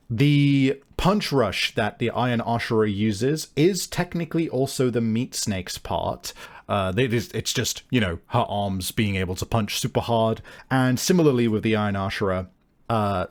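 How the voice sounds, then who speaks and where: A man's voice: mid-range voice, lively intonation, measured pace. A man hosting and game mastering, improvising vivid descriptive narration for a tabletop game. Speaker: game master and podcast host. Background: background music.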